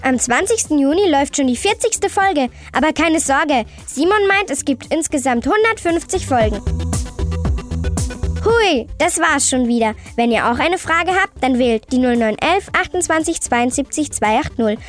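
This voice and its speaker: A woman playing an excitable, chummy girl host of a scripted children's audio show, talking in exclamatory youth slang. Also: sits high, normal speed, faint music behind. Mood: animated, chatty. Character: Sally, chirpy excitable cartoon-like girl host. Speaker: host answering young callers' questions.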